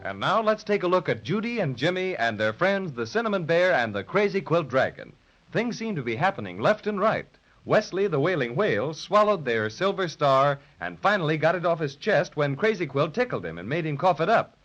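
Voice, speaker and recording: A man recaps a children's serial in a storyteller's manner, his pitch rising and falling freely. The sound is an old, muffled 1930s radio transcription. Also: sing-song, fairly quick, fairly bright tone, faint music behind. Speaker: narrator.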